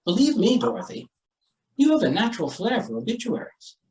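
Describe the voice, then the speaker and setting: A flustered newspaper editor from a vintage radio comedy, played by a man. He hems and soothes with dry wit and animated swings, sounding roomy and distant. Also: high-pitched, brisk. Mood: placating, dry. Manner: hemming, placating, dry. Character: flustered, placating male newspaper editor, dryly wisecracking. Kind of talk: old-time radio comedy acting. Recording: roomy stage, away from mic.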